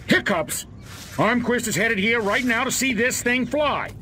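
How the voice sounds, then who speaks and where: A man playing a blustering, angry middle-aged American military officer in a video game cutscene, gruff and profane. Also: very high-pitched, expressive, unhurried, sharp tone, a little hiss or hum, full character voice.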